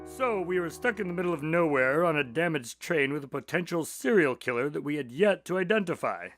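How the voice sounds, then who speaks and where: Man acting an inquisitive detective's sidekick, slightly high voice. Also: lively intonation, fairly quick, a bit bright, faint music behind. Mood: wry, narrating. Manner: inquisitive, somewhat formal phrasing. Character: Dr. Watson's grandson, detective's eager questioning sidekick. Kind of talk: radio drama acting.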